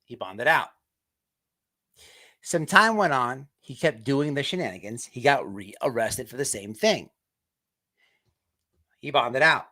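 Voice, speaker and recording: A man hosting an online show with off-the-cuff livestream commentary, halting as he repeats words and restarts. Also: mid-range voice, lively intonation, pushed and bright.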